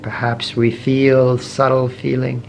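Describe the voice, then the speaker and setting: Slow, gravelly man guiding meditation. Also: mid-range voice, completely flat delivery. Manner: gentle, measured, with long pauses between short phrases. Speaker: meditation teacher. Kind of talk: guided meditation. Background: soft meditation music.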